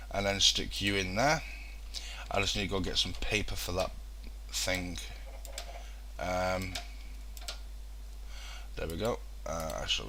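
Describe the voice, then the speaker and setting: A young man talks over his game in a deep voice with a bright, sharp edge, full of ums and false starts. A throat infection is coming on. Faint room noise sits under his desk mic. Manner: rambling, many ums and restarts. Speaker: let's play host. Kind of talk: casual gameplay commentary.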